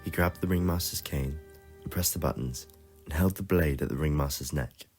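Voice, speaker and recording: A man's voice: very deep voice, normal speed, a little mellow, soft background music. A man reading scripted narration for an adventure audio story.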